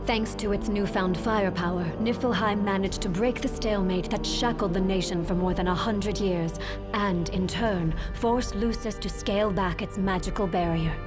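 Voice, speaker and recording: A woman narrating a dark history for a video game in a formal, solemn, scripted style. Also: medium pitch, normal speed, natural voice. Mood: solemn, ominous. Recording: loud music behind the voice.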